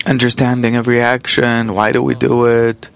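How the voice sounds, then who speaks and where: A man interpreting a spiritual lesson live into English, speaking in measured, halting phrases with pauses mid-sentence. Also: mid-range voice, completely flat delivery, creaky. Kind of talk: simultaneous interpretation from another language.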